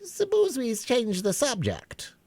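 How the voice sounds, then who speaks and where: A man reading a storybook aloud and voicing an impatient, bored male hare in a high voice. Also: huge pitch swings, slow pace, a little mellow, no room sound, heavily acted. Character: peevish drowsy male hare.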